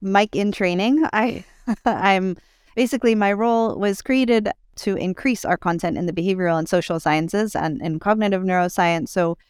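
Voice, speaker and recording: A woman's voice: mid-range voice, normal speed, darkish tone, clear, resonant tone, no room sound, studio quality. A woman speaking as a guest editor on a podcast, chatty and upbeat. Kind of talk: casual discussion.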